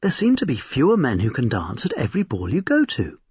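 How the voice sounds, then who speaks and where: A man's voice: medium pitch, wildly swooping pitch, a little fast, darkish tone, slightly rough, exaggerated voice. A man voicing critical, enthusiastic young society women in a storybook reading, in a gossipy, emphatic manner. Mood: critical, mocking. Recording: roomy, slightly dull recording.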